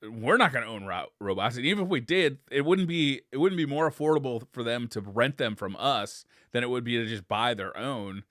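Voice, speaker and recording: A man chats casually as a podcast co-host. His bright, forceful voice swings widely in pitch as he jokes and swears. The sound is very dry, with hardly any room to it. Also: brisk, hardly any pauses, no echo. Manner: animated, profane, joking. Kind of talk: casual chat.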